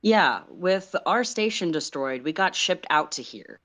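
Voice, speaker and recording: A woman acts out a male commanding officer in a story reading. He sounds measured and matter-of-fact. He is grateful, pragmatic and businesslike. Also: fairly low pitch, lively intonation, a bit bright.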